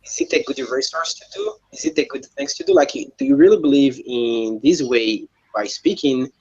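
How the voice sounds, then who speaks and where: A man's voice: medium pitch, animated, normal speed, a little mellow, husky. Hesitant man on a dull call line. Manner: hesitant, searching for words, many restarts. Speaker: language learner. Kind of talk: casual video call chat.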